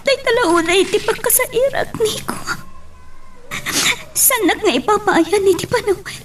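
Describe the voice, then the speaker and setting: A woman's voice: extremely high pitch, a little slow, background noise. A woman with an Ilocano Filipino accent acting a tearful, hurt young wife in an audio drama, speaking plaintively. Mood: hurt, pleading.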